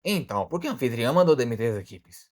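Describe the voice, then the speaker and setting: Rapid-fire, exasperated Brazilian detective played by a man. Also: mid-range voice, lively intonation, a little mellow, lightly acted. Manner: questioning, exclamatory. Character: impatient alligator detective, exasperated and blunt. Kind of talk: animated murder-mystery dialogue.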